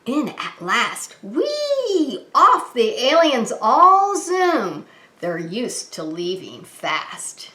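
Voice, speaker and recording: A woman preschool teacher reading a children's story aloud in an animated, sing-song manner, talking to small children. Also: fairly high pitch, extremely slow, slight background noise. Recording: echoey room.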